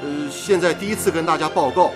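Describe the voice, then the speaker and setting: An actor playing a jovial, boyish young radio station worker in a radio drama, playfully teasing and fond of exaggeration. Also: male voice, a little high, brisk, over music, lightly acted. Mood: cheerful, earnest.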